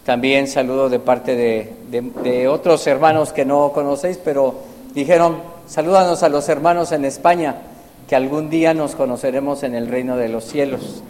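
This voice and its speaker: A man from Mexico with a Mexican accent, preaching a sermon with prayer and scripture reading, slow and halting, often repeating his words. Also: medium pitch, steady tone, darkish tone. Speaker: visiting preacher. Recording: long hall reverb, faint noise.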